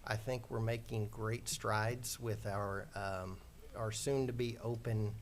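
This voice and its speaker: A low-pitched man answers at a candidate forum. He speaks in an even, narrow tone, measured and orderly with a few fillers. Faint room noise runs underneath. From Missouri, USA. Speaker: school board candidate.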